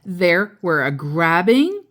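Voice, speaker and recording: A woman storyteller reading a children's picture book aloud expressively, drawing out key words and adding playful asides. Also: fairly low pitch. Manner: animated, drawn-out emphasis with playful asides.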